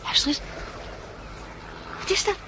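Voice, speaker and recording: An actress playing an affectionate, level-headed female survivor in a 1960s Dutch radio drama, gentle and matter-of-fact, with a Netherlandic accent. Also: a little slow. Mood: excited, alert. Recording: noisy old 1960s radio recording.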